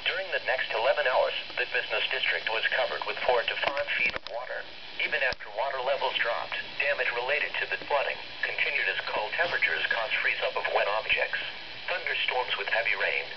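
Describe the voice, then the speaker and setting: A man's voice: mid-range voice, a little fast. A man with a formal, synthetic-sounding delivery reading scripted public information as a weather radio announcer. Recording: noisy, muffled weather radio broadcast.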